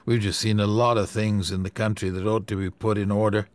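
A man's voice: fairly low pitch, flat delivery, a little fast, dry recording, natural voice. A man reading as a pointed young questioner. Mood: calm. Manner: pointed, challenging.

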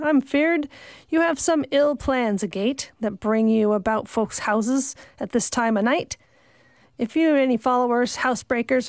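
A gruff old servant woman, as a woman reader puts her on, scolding in a rustic country dialect and keeping a stranger out. Her voice sits fairly high and close. The sound is dry and right on the mic. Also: no room sound. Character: old female servant, blunt and suspicious.

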